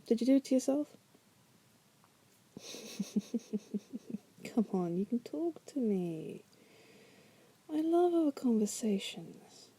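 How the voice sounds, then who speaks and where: Woman acting a breathy, very dark-toned seductive demoness. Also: medium pitch, heavily acted. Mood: coaxing, curious. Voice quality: soft, breathy. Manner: teasing, coaxing, condescending, often dropping to a whisper. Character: bored, manipulative, flirtatious caged female demon prisoner. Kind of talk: ASMR roleplay monologue to the listener.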